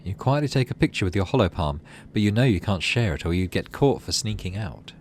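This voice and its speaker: A man with a fairly low voice and very lively intonation hosts a gaming video. He moves between reading story text and tentative thinking aloud. Some noise sits behind his voice. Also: a little fast. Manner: thinks aloud in tentative, self-questioning asides. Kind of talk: let's play commentary mixed with reading game narration aloud.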